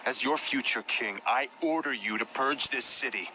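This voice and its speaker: A man playing a proud, headstrong young prince in a video game cutscene, forceful and imperious. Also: medium pitch, normal speed, background noise, natural voice. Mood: angry command. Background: loud music.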